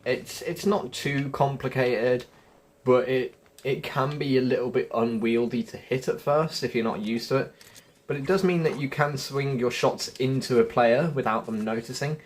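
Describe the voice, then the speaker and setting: A man's voice: medium pitch, moderate pace. A man giving casual gameplay commentary as a video host, informal and a little stumbling in how he talks.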